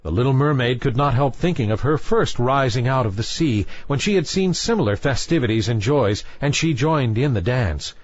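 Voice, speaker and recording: A man reading a fairy tale as a storyteller, in a measured literary style. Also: medium pitch, expressive, lo-fi sound. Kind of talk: scripted fairy tale narration.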